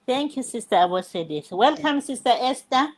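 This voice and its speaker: A woman's voice: high-pitched, animated, normal speed. An older woman, a grandmother, hosting a live online session and greeting viewers and panelists in a welcoming way. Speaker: host.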